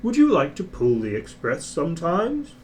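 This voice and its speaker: A man's voice: a little high, wildly swooping pitch, normal speed, darkish tone, slight background noise, voice pushed up. A man voicing a kindly, encouraging railway controller in a children's storybook. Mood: approving.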